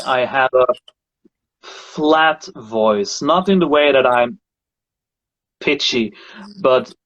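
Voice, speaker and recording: A male singer hosting a casual chat about voices, speaking thoughtfully and halting often to find his words. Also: medium pitch, a bit bright, dry recording, poor audio. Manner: thoughtful, halting, repeats words while searching. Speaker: singer and show host. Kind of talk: casual conversation about singing.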